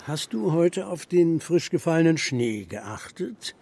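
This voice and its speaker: Husky, grumpy old shepherd. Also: male voice, on the high side, sing-song, a little slow, a little mellow, heavily acted. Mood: warning. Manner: gruff, defiant, terse. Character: grumpy, stubborn old shepherd grandfather of the steppe. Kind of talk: German children's radio drama acting.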